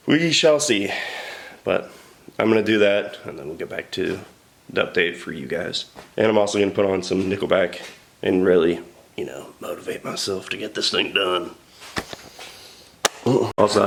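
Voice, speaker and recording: A man's voice: on the low side, slight background noise. A young man with a stuffy nose from a cold, chatting loosely and profanely about car repairs in his home garage. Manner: loose, profane, rambling with many fillers. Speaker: car builder vlogger. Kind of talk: casual garage vlog.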